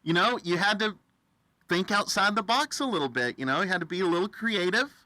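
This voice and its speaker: A man hosting a casual radio interview, with halting, hesitant phrasing. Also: high-pitched, lively intonation, bright, forceful tone, no echo. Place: radio studio, face to face with guest.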